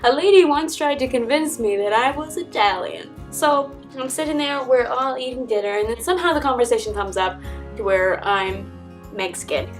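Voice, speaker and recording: A young American woman with a fairly high voice tells personal stories in an animated, casual vlog style. She sounds a little away from the mic, with soft music behind her. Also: moderate pace. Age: young adult. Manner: animated, acts out quoted conversations. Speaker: vlogger telling personal stories. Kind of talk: casual chat to camera. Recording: distant mic, background music. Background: acoustic folk instrumental music.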